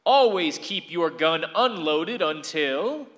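A glib instructor speechifying to his class in a radio play. The voice is raised, bright and forceful, ringing with hall reverb. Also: a little high, animated, slow pace. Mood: smooth, commanding. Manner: declamatory, addressing a class. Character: glib, showy male gun-safety instructor.

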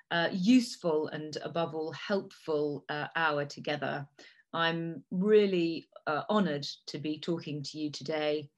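A middle-aged woman raised in Italy, a native Italian speaker, giving a measured talk with frequent fillers. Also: lowish voice, dull audio. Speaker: guest speaker. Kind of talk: prepared talk.

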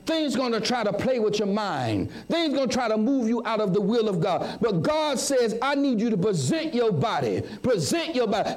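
High-pitched, animated male preacher. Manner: emphatic, repetitive, with call-and-response questions to listeners.

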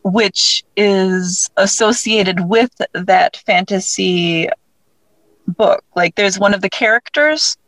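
A woman's voice: mid-range voice, slow pace, fairly bright tone, no room sound, rough recording. A woman speaking casually as an interview guest, chatty and self-deprecating as she talks about her own work as an author. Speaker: interview guest, author and audio drama creator.

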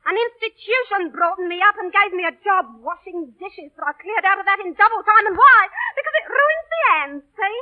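An actress in an old radio drama playing a brash, defiant young street thief with cheeky, ungrammatical working-class talk. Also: female voice, extremely high pitch, lively intonation, normal speed, hardly any pauses. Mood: defiant, impassioned. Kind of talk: 1930s-40s radio drama acting. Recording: tinny, band-limited vintage radio broadcast.

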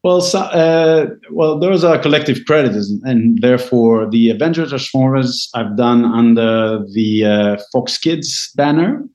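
A man's voice: medium pitch, a little slow, few pauses, a little mellow. A man, a Hebrew speaker, answering interview questions in a reflective way with frequent hesitations. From Israel. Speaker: guest composer.

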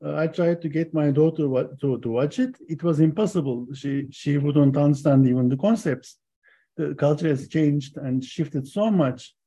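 A man hosting an interview reads out his guest's introduction, then chats and asks questions. His voice sits a little high and sounds mellow. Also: normal speed, breathy edge. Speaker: interview host.

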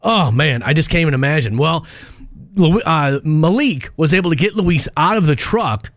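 Higher-voiced man, casual radio host. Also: animated, normal speed, no room sound. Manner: informal, conversational storytelling. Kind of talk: casual radio talk, telling a news story.

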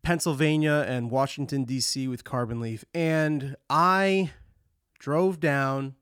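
A man's voice: mid-range voice, slow pace, fairly bright tone, clear, resonant tone, no echo, studio quality. A touring musician hosting his own show, talking in a rambling, candid way and swearing often, his voice congested from a cold. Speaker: touring musician and podcast host. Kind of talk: casual monologue.

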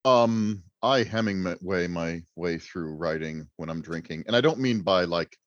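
A man's voice: low voice, measured pace, dry recording, muffled recording. A man chatting casually as a writer guest on a podcast, wry and joking. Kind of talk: casual chat.

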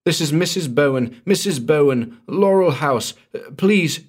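Guy putting on a scared lady voice, high and breathless, begging for help on a bad phone line. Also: animated, measured pace, slightly rough. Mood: panicked. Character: frightened woman alone in a snowbound house.

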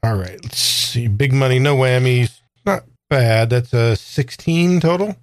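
A man's voice: mid-range voice, unhurried, no room sound, clean studio sound. A man talking casually as a player in a tabletop game, improvising what his character does.

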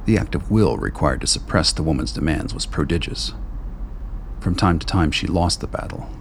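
Gravelly deep-voiced male narrator. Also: a little hiss or hum. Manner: measured, grave. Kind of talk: radio play narration.

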